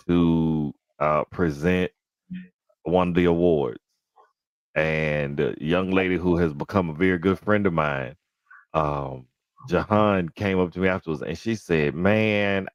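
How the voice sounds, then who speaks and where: Deep-voiced man hosting. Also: clean tone, no echo, highs cut off. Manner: careful, articulate diction. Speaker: podcast host. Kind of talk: casual interview chat.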